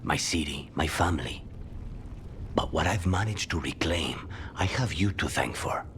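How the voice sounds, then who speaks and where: An actor playing a grim, honour-bound assassin in a fantasy game, terse and intense, with a breathy delivery. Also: male voice, very deep voice, expressive, measured pace, fairly bright tone, a little hiss or hum, full character voice. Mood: solemn, grateful. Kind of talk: scripted fantasy game dialogue.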